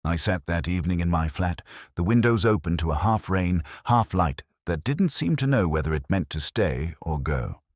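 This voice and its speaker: A man playing a melancholy, reflective police inspector, narrating an audio drama in slow, measured, solemn literary prose with deliberate pauses. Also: deep voice, expressive, no room sound, studio quality. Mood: somber, brooding. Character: melancholy, reflective male police detective inspector telling his story.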